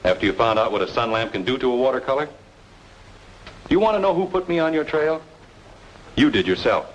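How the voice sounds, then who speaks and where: A man's voice: medium pitch, animated, brisk, slight background noise, close to an everyday voice, rough recording. An actor playing a wronged, obsessive younger man, speaking with insistent intensity in an old-time radio noir drama. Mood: insistent, sardonic. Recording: old radio recording, faint music.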